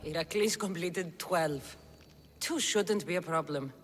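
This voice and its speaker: An actress playing a bold young Spartan mercenary in a video game, self-assured and dryly sarcastic. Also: female voice, fairly low pitch, measured pace, a little hiss or hum, close to an everyday voice. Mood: wry. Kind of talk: dramatic video game acting.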